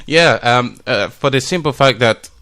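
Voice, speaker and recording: Smooth-voiced, quiet-mannered man. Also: medium pitch, lively intonation, unhurried. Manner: soft-spoken, hesitant, laughs often. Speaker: guest. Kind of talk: radio talk show testimony. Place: radio studio.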